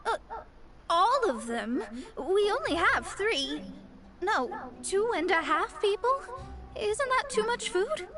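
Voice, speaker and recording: A woman's voice: extremely high pitch, sing-song, takes it slowly, a loud crowd, heavily acted. A woman voicing a proud young astrologist in a game, formal and lofty, easily flustered when money comes up. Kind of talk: game voice acting. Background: game music.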